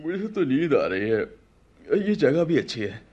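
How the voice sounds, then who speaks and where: Grumbly palace guard in a radio play, raspy, pitch all over the place, superstitious. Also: male voice, unhurried, exaggerated voice. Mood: weary grumbling. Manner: colloquial, grumbling, animated. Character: grumbling, sleepy, superstitious male palace watchman.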